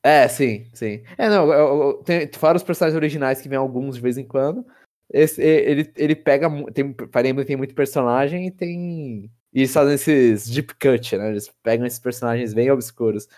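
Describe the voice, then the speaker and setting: A man's voice: mid-range voice, normal speed, a little mellow. A man, co-hosting a casual podcast chat about video games, rambling with many restarts. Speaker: podcast co-host.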